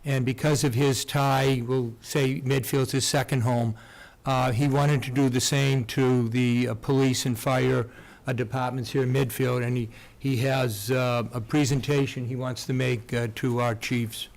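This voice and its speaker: Flat-voiced man introducing a guest. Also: medium pitch, unhurried, gravelly. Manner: hesitant, full of ums and uhs. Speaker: town board member. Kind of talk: introducing a guest at a public meeting.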